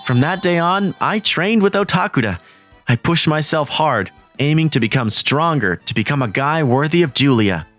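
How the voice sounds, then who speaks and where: An actor playing an earnest, eager teenage schoolboy who narrates and leads a manga voice-over. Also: male voice, fairly high pitch, expressive, moderate pace, a bit bright, no echo, soft background music. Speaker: narrator and lead.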